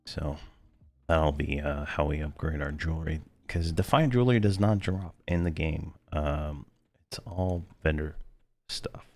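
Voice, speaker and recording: A man with an extremely low voice rambles through his gameplay, full of "uh"s and easygoing asides. He sounds hoarse, as though he is losing his voice. The sound is dry and close, with faint game music in the background. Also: unhurried, a little mellow, no echo. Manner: rambling, filler-heavy, laid-back. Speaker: game video host. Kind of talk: casual gameplay commentary.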